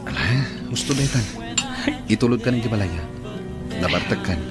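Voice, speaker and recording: Guy acting calm friend giving advice, radio drama, ilocano accent, lowish voice, music behind it. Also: normal speed, close to an everyday voice. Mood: reassuring. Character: steady, advising male friend, a calm confidant. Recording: prominent music under the voice.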